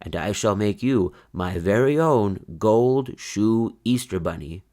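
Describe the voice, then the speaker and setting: A man voicing an old, wise, kind grandfather rabbit in a storybook, speaking slowly and solemnly. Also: fairly low pitch, lively intonation, a little mellow. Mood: solemn, kindly. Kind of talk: children's storybook read-aloud.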